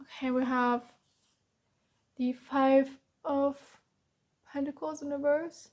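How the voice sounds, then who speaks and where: A woman from Germany giving a casual tarot reading, hoarse with a cracking voice, reassuring and repeating herself. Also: high voice, fairly even intonation, slow, darkish tone. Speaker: tarot reader.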